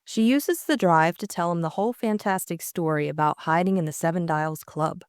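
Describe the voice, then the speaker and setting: Lower-pitched woman narrating, dry sound. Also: moderate pace, clear, resonant tone, no echo. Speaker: audiobook co-narrator. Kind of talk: scripted dramatic book narration.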